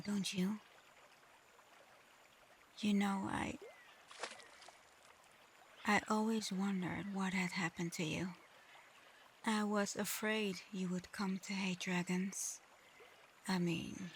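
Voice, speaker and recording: A woman playing a gentle, remorseful shapeshifting dragon in human form for an ASMR roleplay, speaking softly and haltingly, often close to a whisper. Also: medium pitch, a little hiss or hum. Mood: gentle, regretful. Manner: soft, breathy, often near-whispered, halting.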